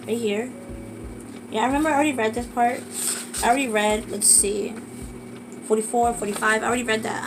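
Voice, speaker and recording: A woman with a sore throat reads a book aloud to her viewers, pausing and correcting herself as she goes. Her voice sits a little high. Steady background noise runs under a home recording. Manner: reads slowly with halting restarts and asides. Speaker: vlogger reading a book aloud. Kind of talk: casual book reading vlog.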